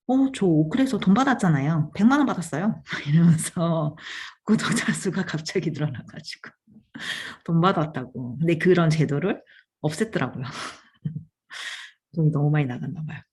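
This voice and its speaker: A woman's voice: low voice, lively intonation, moderate pace, a little mellow, slightly rough. A woman hosting her own channel, talking casually about podcasting, chatty, laughing often and trailing off mid-phrase. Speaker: channel host giving advice on podcasting. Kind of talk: casual talk to camera.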